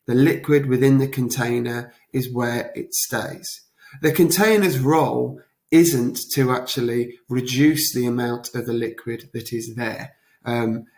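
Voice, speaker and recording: A man giving an explanatory revision lesson as a teacher, talking in a deliberate, emphatic way with rhetorical check-ins. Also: mid-range voice. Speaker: history teacher. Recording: echoey, roomy sound.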